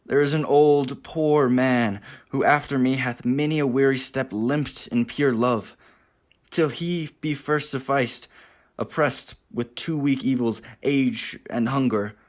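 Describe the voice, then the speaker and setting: A hungry, noble-hearted young gentleman acted by a man with a medium-pitched voice. He speaks Shakespearean lines with earnest, theatrical urgency, as in a radio play. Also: steady tone, takes it slowly, close to an everyday voice. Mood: earnest, grateful.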